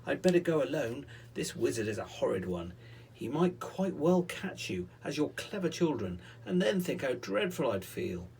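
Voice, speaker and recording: A man's voice: medium pitch, sing-song, normal speed, vocal fry, hardly any pauses, darkish tone, slight background noise, low-quality recording. A man voicing an anxious, kindly male pixie in a storybook reading, in an earnest, worried tone.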